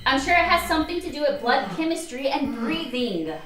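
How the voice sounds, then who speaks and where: Radio play doctor, played by a woman: high voice, commanding and impatient, recorded roomy and off-mic. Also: lively intonation, a little fast, fairly bright tone. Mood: urgent, insistent. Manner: insistent, curt, commanding. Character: driven, impatient woman doctor chasing a medical hunch. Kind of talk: amateur radio play acting. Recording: roomy, distant mic, music underneath.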